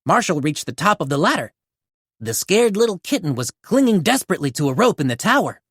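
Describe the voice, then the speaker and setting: Very animated woman reading a children's story. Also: fairly low pitch, brisk, slightly rough, no room sound, studio quality. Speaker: storybook reader. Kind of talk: animated children's read-aloud narration.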